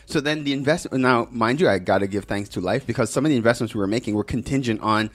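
A man's voice: mid-range voice, fast. A man hosting a show, talking in a casual, conversational way with plenty of slang. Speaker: show host. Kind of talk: casual podcast-style talk.

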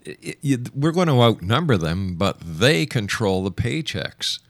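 A man's voice: mid-range voice, sing-song, measured pace, dry recording. A man hosting a radio interview, putting skeptical, probing questions to his guest. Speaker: radio show host and interviewer.

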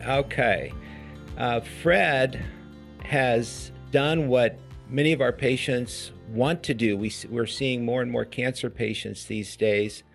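A man in a middle-range voice who hosts a health podcast interview as a doctor. He talks slowly and hesitantly, with many fillers and restarts. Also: mid-range voice, creaky, soft background music. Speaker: podcast host, physician. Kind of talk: interview hosting.

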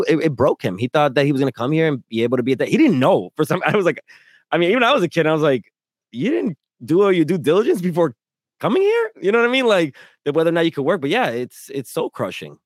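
Brief: man, podcast host, quick delivery, lively and laughing, dry close sound. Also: on the high side, no echo. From grew up in New York City. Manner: laughs often, animated and joking. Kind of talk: casual conversational interview.

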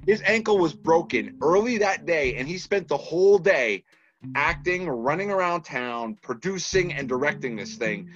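Boston-accented man, animated storyteller. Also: on the high side, normal speed, lo-fi sound. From Massachusetts. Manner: animated storyteller who acts out quoted dialogue. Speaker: guest, filmmaker. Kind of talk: casual podcast storytelling.

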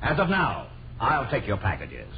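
A stern, officious postmaster. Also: male voice, mid-range voice, huge pitch swings, normal speed. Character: stern, officious postmaster catching a rule-breaker. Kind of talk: 1940s radio comedy acting. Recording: noisy, muffled vintage radio broadcast.

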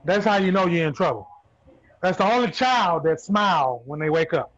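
A man's voice: high voice, animated, normal speed, a bit bright, lo-fi sound. A man in his thirties chatting casually and joking in a book discussion group. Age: 30s. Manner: playful, joking, laughs often. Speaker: participant. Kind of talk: casual chat.

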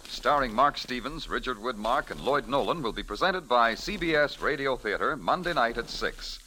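Bright, animated American male radio announcer. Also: medium pitch, slight background noise, rough recording. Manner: polished, emphatic. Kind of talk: 1940s scripted radio announcing and promotion.

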